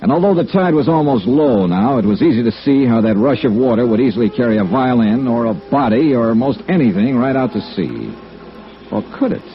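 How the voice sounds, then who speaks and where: An American actor playing a tough, dogged insurance investigator in 1950s radio noir, with clipped, hard-boiled delivery. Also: male voice, medium pitch, very animated, a little fast. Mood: wry, watchful. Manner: clipped, hard-boiled first-person narration. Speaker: lead actor and narrator. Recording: muffled old radio broadcast, music behind.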